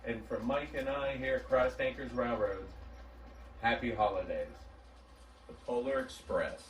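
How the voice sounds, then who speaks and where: A man's voice: medium pitch, measured pace. A man in his forties speaking as a host, giving a holiday message and reading a storybook aloud. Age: 40s. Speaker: host of a model railroad channel. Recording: roomy, distant mic, faint background noise.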